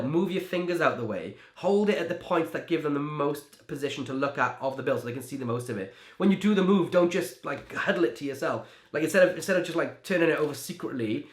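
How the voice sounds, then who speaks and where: A young man from Wales, a magician, answering interview questions in a chatty way, full of "like" and restarts. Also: a little high. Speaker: magician and creator. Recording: echoey room.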